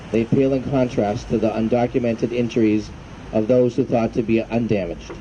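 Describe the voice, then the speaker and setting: A man delivers a formal, solemn address at an outdoor ceremony. His voice is very dark and soft-edged, of medium pitch. The recording is dull, with faint ambient noise behind him. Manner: solemn, measured reading. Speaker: veterans' group commander. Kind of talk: formal prepared ceremonial speech. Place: outdoor evening ceremony in a park. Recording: muffled, faint outdoor hum and traffic noise.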